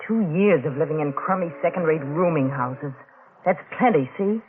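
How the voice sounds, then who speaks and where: A woman's voice: low-pitched, lively intonation, moderate pace, narrow, tinny audio. An actress playing a hard, bossy, fed-up woman who snaps in slangy orders, in 1940s radio drama style. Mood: hard, impatient. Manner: snapping, slangy, domineering. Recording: thin, noisy old radio recording.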